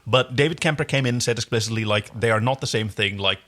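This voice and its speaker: A man's voice: mid-range voice, fast talker, fairly bright tone. A man co-hosting a casual TV recap chat, with a jokey, teasing manner. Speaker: podcast co-host.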